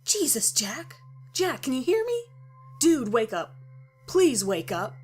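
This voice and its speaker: A woman voicing an excitable young man, a loyal friend who is quick to panic, in a horror story. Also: high-pitched, breathy edge, soft background music, voice pushed up, full character voice. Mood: panicked shouting.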